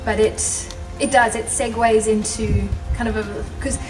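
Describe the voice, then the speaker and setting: A woman's voice: fairly high pitch, little pitch movement, a little slow. A young woman telling her personal story as a guest speaker, passionate and chatty, laughing often. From South Africa. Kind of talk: personal story at a live event. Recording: roomy, from far off, music behind.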